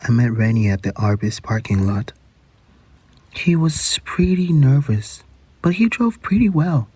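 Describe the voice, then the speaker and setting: A man's voice: medium pitch, expressive, unhurried, mellow, husky, no echo. An actor playing a man looking back on a secret teenage adventure in a reflective audio drama monologue. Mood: reflective, hushed.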